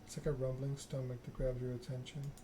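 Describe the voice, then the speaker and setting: A man streaming a game in soft ASMR style, reading story text aloud and chatting casually with viewers. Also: medium pitch, flat delivery, a little fast, noisy background. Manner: reads narrative text aloud, chats with viewers.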